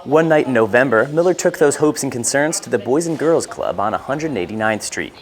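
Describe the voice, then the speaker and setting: A man's voice: mid-range voice, expressive, brisk. A man with an American accent reading a scripted news report as a reporter and anchor.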